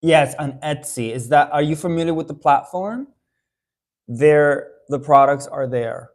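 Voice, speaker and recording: A man's voice: on the high side, a little slow. A young adult man from the Dominican Republic, chatting casually on a live stream and answering viewer questions with lots of fillers and restarts. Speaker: haircare brand owner answering live viewers.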